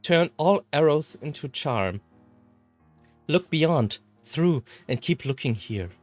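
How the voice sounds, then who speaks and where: A man reading a visual novel aloud in a halting, slightly theatrical way. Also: fairly high pitch, expressive, a little mellow, dry recording, faint music behind. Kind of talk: expressive read-aloud narration of a visual novel.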